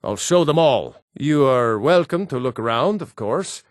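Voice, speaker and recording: A male actor voices a stern Nord of a fantasy game. He speaks slowly and deliberately at a medium pitch, with proud weight, recorded very dry and close. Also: expressive, dry recording, close to an everyday voice. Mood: smug, boastful. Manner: measured, weighty, deliberate delivery. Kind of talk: fantasy video game dialogue.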